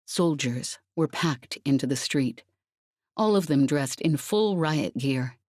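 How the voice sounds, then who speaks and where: A woman with a low-pitched voice narrates an audiobook. Her scripted reading is dramatic, and the sound is very dry with no room around it. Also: animated, takes it slowly, a little mellow, no echo.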